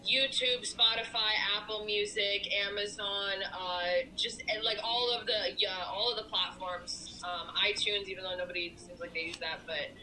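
A woman's voice: mid-range voice, fairly even intonation, normal speed, hardly any pauses, bright, forceful tone, slight background noise, low-quality recording. A woman in her thirties, a rapper guest in a casual interview, laid-back, swearing freely and laughing easily. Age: 30s.

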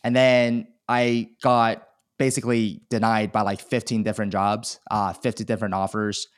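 A man's voice: on the low side, level tone, normal speed, dry recording, studio quality. A man in his thirties from China, telling his life story candidly as a podcast guest, self-deprecating and chatty. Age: 30s. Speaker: guest, e-commerce seller. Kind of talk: casual podcast interview.

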